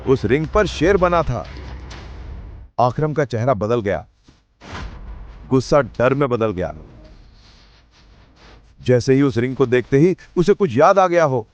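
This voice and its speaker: A man's voice: medium pitch, expressive, slow pace, pauses often, highs cut off, faint music behind. A man narrating a scripted audio drama in a dramatic storytelling style.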